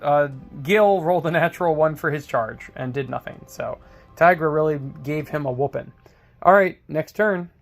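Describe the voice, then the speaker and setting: A hoarse man with a slightly high voice runs through a tabletop skirmish turn by turn, rambling and full of fillers. Faint music sits beneath him as he talks over the game board. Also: a bit bright. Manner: rambling play-by-play with many fillers. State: hoarse, getting over a cold. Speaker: independent game designer narrating a battle report. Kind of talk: casual tabletop battle recap.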